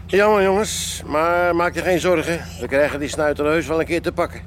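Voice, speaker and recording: Actor as a hearty, higher-pitched police sergeant. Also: male voice, moderate pace, slight background noise, lightly acted. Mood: resigned, amused. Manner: jovial authority, addresses his companions as lads. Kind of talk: radio play acting.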